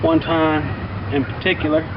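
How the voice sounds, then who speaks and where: A man's voice: on the high side, level tone, unhurried. A man casually telling a rambling personal story in a folksy, colloquial, emphatic way. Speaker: vlogger telling personal stories. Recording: distant mic, muffled, loud outdoor traffic-like noise.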